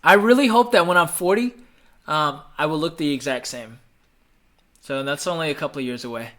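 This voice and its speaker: Bright-voiced upbeat man in his thirties. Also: a little high, brisk. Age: 30s. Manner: upbeat, chatty, reads comments aloud and repeats phrases. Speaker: livestream host answering viewer questions. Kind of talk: casual live Q&A chat.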